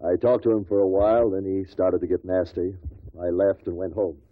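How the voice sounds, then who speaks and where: An actor playing a guarded stockbroker who gives measured, matter-of-fact testimony in a 1950s radio drama. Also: male voice, low voice, flat delivery. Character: guarded businessman, male stockbroker giving testimony. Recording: tinny, dry old radio recording.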